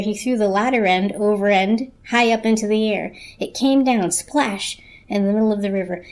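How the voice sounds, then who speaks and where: A woman reading scripted story narration as a narrator, in a measured, literary storytelling manner. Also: mid-range voice.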